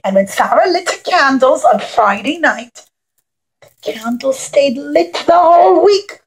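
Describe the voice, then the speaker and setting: Female children's storyteller with a high voice, simple phrasing, direct address to young listeners. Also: animated, a little slow, poor audio. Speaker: children's puppet show storyteller.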